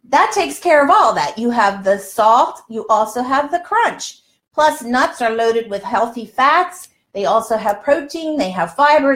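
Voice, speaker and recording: A woman's voice: a little high, a bit bright. A woman in her sixties chatting casually to viewers as host of a live cooking show, folksy and emphatic. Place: home kitchen, indoors.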